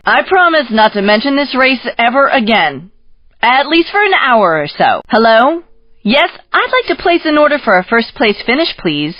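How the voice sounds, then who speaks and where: A woman's voice: high-pitched, no room sound, lo-fi sound. A woman playing a sassy, upbeat race announcer in a video game, teasing the driver with playful sarcasm. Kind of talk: scripted video game commentary.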